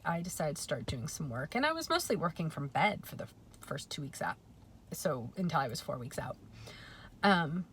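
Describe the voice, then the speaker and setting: A woman in her forties talking in a chatty, reflective way in a personal vlog, often pausing with um and correcting herself. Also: lowish voice, a little hiss or hum. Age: 40s. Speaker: vlogger.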